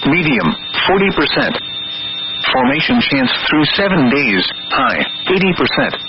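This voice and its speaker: Through a dull radio signal thick with noise comes a man's automated announcer voice. He reads a weather bulletin formally, in a synthetic, scripted way. His pitch sits in the middle of the male range. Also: very animated, measured pace. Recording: noisy muffled weather radio broadcast.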